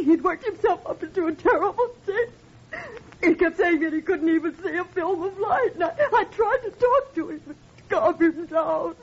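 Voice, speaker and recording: An actress playing an anxious, tearful woman in an old radio drama, halting and emotional. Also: extremely high pitch, darkish tone, heavily acted. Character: anxious, tearful woman, patient's distraught loved one. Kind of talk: 1940s-50s radio drama acting. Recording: dull, noisy old radio recording.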